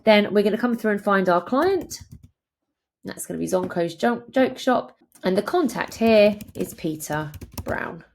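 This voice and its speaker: A woman in her thirties presenting a software tutorial as a conversational, step-by-step walkthrough. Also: mid-range voice, animated, measured pace, creaky, a little hiss or hum.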